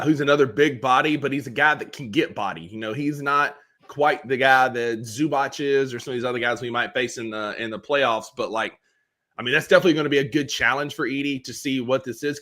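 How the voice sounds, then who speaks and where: A man co-hosting a casual sports talk show, talking in a rambling, animated way with lots of fillers. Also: medium pitch, brisk. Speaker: podcast co-host.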